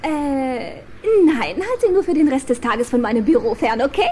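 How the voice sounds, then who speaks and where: Eine Schauspielerin spielt eine gehetzte, mütterliche Bärin und Geschäftsfrau in einem Kinderhörspiel. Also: female voice, sits high, animated, moderate pace, mellow, slight background noise. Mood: harried. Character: harried motherly female cartoon bear businesswoman. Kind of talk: children's audio drama acting.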